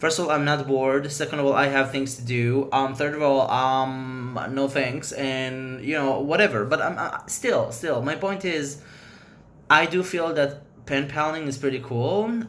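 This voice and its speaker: A man's voice: medium pitch, normal speed, a bit bright. Native Hebrew-speaking man vlogger, mid-range voice, loose frank chat, light background noise. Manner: rambling, loose, frank opinions. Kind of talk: casual chat to camera.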